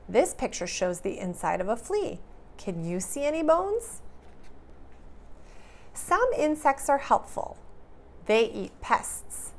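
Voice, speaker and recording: A woman speaks as a preschool teacher to small children, reading aloud and explaining in simple terms. Her pace is slow and careful, with a creaky edge to her mid-pitched voice. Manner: clear simple questions, careful teacherly delivery. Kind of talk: read-aloud lesson for young children.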